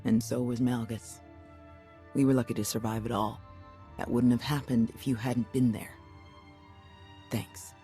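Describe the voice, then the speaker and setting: An actress playing a tough, plain-spoken warrior comrade in a video game, casual and frank. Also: female voice, a bass voice, steady tone, measured pace, pauses often, dark tone, faint music behind. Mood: frank, sincere. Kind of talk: scripted video game acting.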